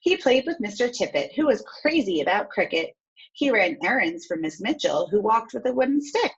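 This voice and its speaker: A woman teacher reading a storybook aloud and pausing to explain things step by step in an instructive way. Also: medium pitch, big pitch swings, slightly rough, highs cut off.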